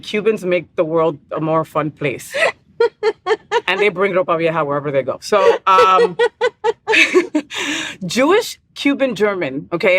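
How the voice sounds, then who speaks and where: A woman's voice: on the low side, fairly bright tone, a little hiss or hum. A middle-aged woman hosting a casual interview, bubbly and teasing, laughing often. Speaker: host.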